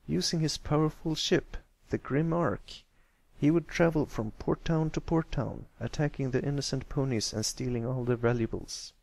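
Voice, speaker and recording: A man's voice: mid-range voice, normal speed, vocal fry, darkish tone, dry recording, natural voice. A man voicing a pony who tells a grand pirate yarn in a dramatic storybook style.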